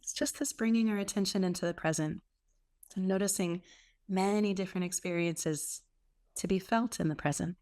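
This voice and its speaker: A woman leading a guided meditation as a teacher, speaking in an unhurried way with gentle pauses between phrases. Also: on the low side. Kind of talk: guided meditation, softly instructive.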